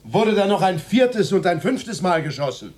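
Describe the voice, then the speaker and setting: An actor playing an agitated, probing witness in a radio drama, with an urgent, insistent delivery. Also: male voice, sits high, sing-song, moderate pace, a little mellow, a little hiss or hum.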